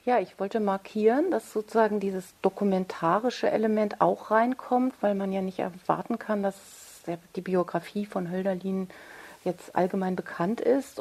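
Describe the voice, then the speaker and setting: Female author as interview guest, mid-pitched voice, thoughtful and articulate explanations. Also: steady tone, moderate pace, mellow. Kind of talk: reflective interview conversation.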